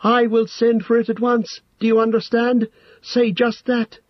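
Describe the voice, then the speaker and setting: Shrill, frightened young hobbit. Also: male voice, completely flat delivery, measured pace, no echo, lo-fi sound. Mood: shrill, dazed. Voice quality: shrill, strained. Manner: stammering, hesitant. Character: frightened young male hobbit, shaken and pleading.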